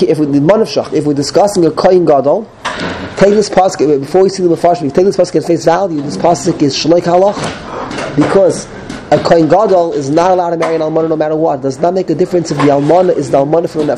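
A man's voice: fairly high pitch, quick pace, background noise. Man with a cold teaching a class. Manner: digressive, restarts phrases, mixes in Hebrew terms. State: has a cold. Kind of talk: casual Torah class.

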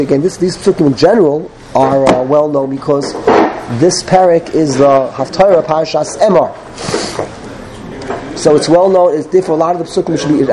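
A man's voice: on the high side, background noise. A man with a cold teaching a casual Torah class, often restarting his phrases and mixing in Hebrew terms. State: has a cold.

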